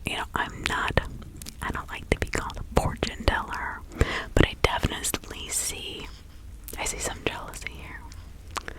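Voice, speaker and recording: A woman playing a reclusive, gossipy village witch of the 1600s in a confiding, rambling ASMR roleplay, all in a breathy whisper. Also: lightly acted, low-quality recording. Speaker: ASMR performer.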